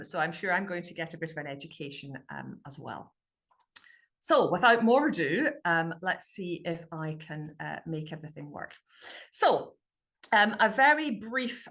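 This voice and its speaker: A woman presents her research in an online talk over a video call. Her tone is bright and forward, and her pitch sits a little low. She hesitates constantly, putting an "um" in front of nearly every phrase. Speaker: university dialect researcher giving a talk. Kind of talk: online presentation to a local society.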